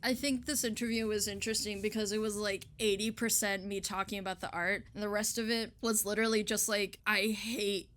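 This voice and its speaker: A woman's voice: mid-range voice, flat delivery, brisk, hardly any pauses, fairly bright tone. A woman in a casual online interview, chatting effusively and giggling, with some casual swearing. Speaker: interviewer and artist. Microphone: online voice call.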